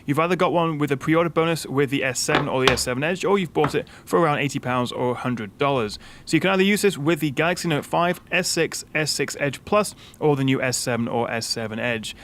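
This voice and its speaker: A young British man hosts a tech video and explains a headset setup step by step. He talks quickly and casually, dropping in lots of "uh" fillers. A faint hiss sits under his voice. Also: fairly high pitch, fairly bright tone. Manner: chatty, frequent "uh" fillers. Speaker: tech channel host. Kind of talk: product setup walkthrough.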